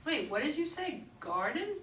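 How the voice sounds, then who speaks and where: Lady doing a no-nonsense woman cop in a play reading, sharp voice, firm, distant mic with faint noise. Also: high-pitched, big pitch swings, normal speed, echoey room. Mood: probing. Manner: firm, questioning. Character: persistent, probing, no-nonsense female police detective. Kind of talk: stage play read aloud by readers' theater.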